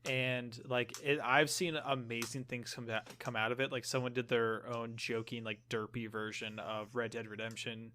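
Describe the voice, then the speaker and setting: A man with a middling pitch talks as a podcast co-host. His delivery is casual and conversational, wandering through explanations as if among friends. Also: level tone, moderate pace, hardly any pauses, sharp tone, a little hiss or hum. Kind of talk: casual gaming chat.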